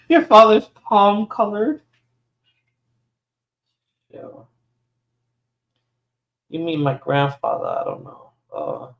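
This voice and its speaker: A woman with a New York accent, Spanish as her first language, hosting a casual livestream and rambling through replies to viewer comments. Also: fairly low pitch. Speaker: livestream host.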